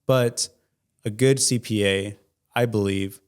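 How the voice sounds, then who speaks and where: A man in his twenties, an accountant guest in an interview, giving earnest, measured explanations. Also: on the low side, steady tone, clean tone. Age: 20s. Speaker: certified public accountant, guest. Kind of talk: interview answers.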